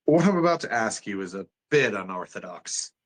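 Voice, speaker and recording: A man's voice: fairly low pitch, sing-song, normal speed. A man playing an authoritative psychiatric doctor in a dramatic table read, questioning and insistent. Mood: businesslike.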